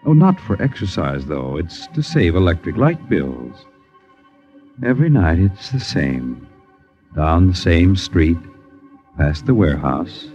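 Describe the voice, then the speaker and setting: A man playing a wry, mocking narrator who addresses the characters deliberately in a 1950s radio drama. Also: deep voice. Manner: sardonic, deliberate storytelling. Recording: muffled old radio broadcast with music.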